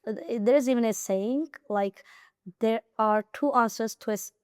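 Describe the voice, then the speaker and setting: Young woman guest with an Azerbaijani accent, earnest and advising, smooth resonant voice, fairly high. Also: moderate pace, a little mellow, no room sound, studio quality. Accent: Azerbaijani, Nakhchivan. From Azerbaijan, Nakhchivan region. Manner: earnest and advising, with many fillers and restarts. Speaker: interview guest, IELTS high scorer. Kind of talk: casual interview conversation.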